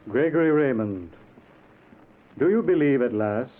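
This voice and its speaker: A man's voice: medium pitch, lively intonation, close to an everyday voice. Man playing solemn otherworldly guide on old radio. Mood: grave, calm. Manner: grave, formal, measured. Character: solemn, implacable male otherworldly guide of the dead. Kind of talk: 1940s radio acting. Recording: muffled, noisy old radio broadcast.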